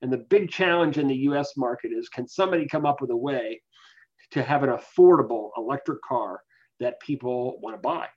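A man speaking as an expert guest, giving explanatory interview answers in a measured, analytical way. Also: medium pitch, expressive, darkish tone. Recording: dull, lo-fi voice capture.

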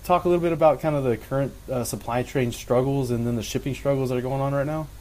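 A man's voice: medium pitch, fast talker, warm tone, low-quality recording. A man hosting a podcast in a casual, chatty style. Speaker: podcast host.

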